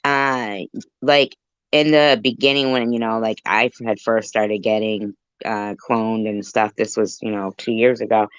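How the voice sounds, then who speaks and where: A woman talks casually on a chat panel, taking her time and often halting as she rambles. The sound is dry and close, but dull and low in fidelity. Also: steady tone, clear, resonant tone, no room sound. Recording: dull lo-fi call audio.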